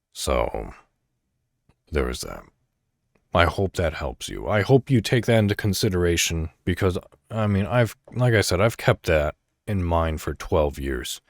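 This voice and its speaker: Deep-voiced man with swooping, expressive intonation. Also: creaky, no echo, clean studio sound. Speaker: podcast host. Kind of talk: reflective solo monologue addressed to listeners.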